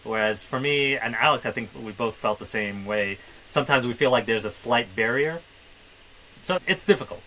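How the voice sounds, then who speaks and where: A man's voice: medium pitch, normal speed, sharp tone, slight background noise, poor audio. A man who grew up in the Middle East, co-hosting a podcast and reflecting in casual conversation. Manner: reflective, hedging with "I think". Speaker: podcast co-host.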